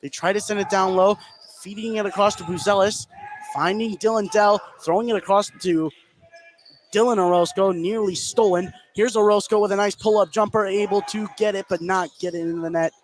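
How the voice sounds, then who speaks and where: A male announcer with a fairly high voice calls a live basketball game on the radio. He follows the action energetically in short, clipped phrases. Clear gym noise sits behind him. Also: steady tone, fairly quick, low-quality recording. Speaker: play-by-play sports announcer. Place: indoor gymnasium at a live game.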